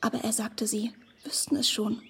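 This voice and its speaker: Very breathy, naive young woman. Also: fairly high pitch, level tone, normal speed. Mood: confiding. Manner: gushing, confiding. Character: Harriet, naive, chatty, wide-eyed young woman. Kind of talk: radio drama acting of a literary classic.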